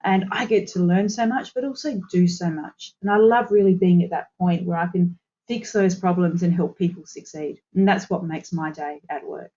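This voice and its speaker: A woman's voice: on the low side, normal speed, warm tone, highs cut off. An Australian woman, a consultant guest on a podcast, telling her career story fluently and with enthusiasm. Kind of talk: podcast interview.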